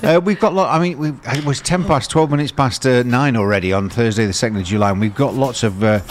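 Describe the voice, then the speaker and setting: A man's voice: medium pitch, quick pace, over music. A man presenting a morning radio show, chatting casually and rambling with plenty of fillers and restarts.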